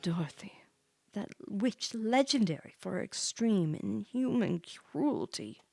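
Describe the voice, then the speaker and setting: A woman voicing an ominous game character recounting a dark legend in a hushed, dramatic way. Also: medium pitch, lively intonation, measured pace, gravelly, faint music behind.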